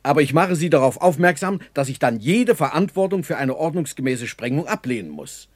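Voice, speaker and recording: An actor playing a wry, reluctant army engineer officer in a 1960s German radio play, with a sardonic, defensive manner. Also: male voice, a little high, lively intonation, brisk, dry recording. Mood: reluctant, firm. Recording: dry early 1960s radio studio.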